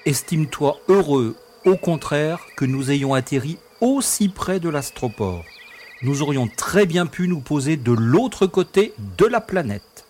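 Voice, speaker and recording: A man plays a matter-of-fact space castaway in an audio drama, chiding and reasoning. His voice is slightly high for a man, with noise behind the recording. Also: animated, measured pace, darkish tone.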